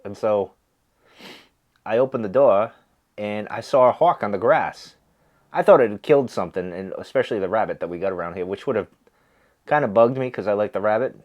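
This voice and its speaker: A man's voice: fairly low pitch, fairly quick, long pauses, muffled recording. A man with a stuffy, nasal voice, chatting casually with lots of fillers and restarts. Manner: rambling, easygoing, many fillers and restarts. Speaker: pipe smoking video host. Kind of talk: casual chat.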